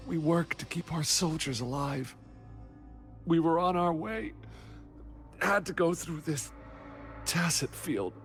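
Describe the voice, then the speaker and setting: An exhausted, wounded army transport worker in a dramatic game scene. He speaks very slowly in a fairly high male voice, halting and weak with fear, over faint music. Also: fairly bright tone. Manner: halting, weakened, labored delivery. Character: wounded, frightened army supply transport man, exhausted survivor. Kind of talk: dramatic video game voice acting.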